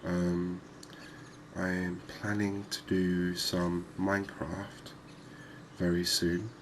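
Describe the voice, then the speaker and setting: A man giving calm, unhurried, soft-spoken ASMR gameplay commentary, musing aloud as he plays. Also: low voice, slight background noise. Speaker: gaming video host.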